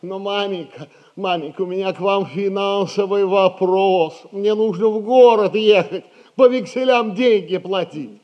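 An actor playing a pompous, feckless middle-aged merchant's son who wheedles in florid phrases, in a 1960s radio stage comedy. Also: male voice, sits high, measured pace, highs cut off. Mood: wheedling. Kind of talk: classic Russian stage comedy acting, 1960s radio play.

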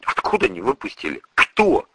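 A man's voice: moderate pace, a bit bright, no room sound, natural voice. A man voicing an anxious, polite and bewildered computer programmer in an audiobook story. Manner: polite, flustered.

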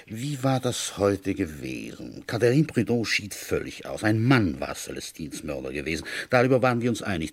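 A village detective with a very low, husky voice, in a radio play. He is methodical and dryly patient, thinking aloud as if confiding in the listener, and his intonation swings widely as he ponders. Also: exaggerated voice. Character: methodical, musing male village police commissioner, dryly patient. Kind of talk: German radio drama, intimate first-person narration.

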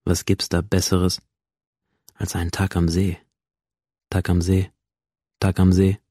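A man's voice: deep voice, completely flat delivery, takes it slowly, mellow, dry recording, clean studio sound. A male actor playing a troubled young man who narrates his own slipping reality in an introspective radio drama monologue. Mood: musing.

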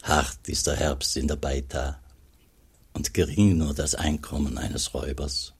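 An older man acting as an old monk who recalls his herding boyhood in a measured, solemn radio drama narration. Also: a bass voice, level tone, slightly rough, full character voice. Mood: wistful, reflective.

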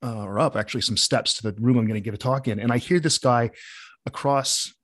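A man's voice: mid-range voice, brisk, fairly bright tone. A man from Canada hosting a casual video call interview, joking and rambling with many restarts. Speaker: podcast host.

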